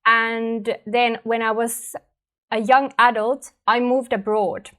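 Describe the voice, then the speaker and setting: Finnish woman, language teacher, thoughtful casual delivery, slightly high-pitched. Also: flat delivery, slow, fairly bright tone. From Finland. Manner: thoughtful, conversational, frequent "right?" and fillers. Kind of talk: reflective personal talk to camera.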